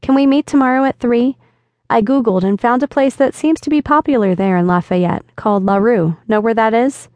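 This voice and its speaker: A woman's voice: a little high, moderate pace, dry recording, lo-fi sound, pitched above the performer's own voice, close to an everyday voice. A woman narrator voicing a curious, flustered young woman in an audiobook, with an eager, slightly nervous delivery. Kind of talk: audiobook dialogue reading.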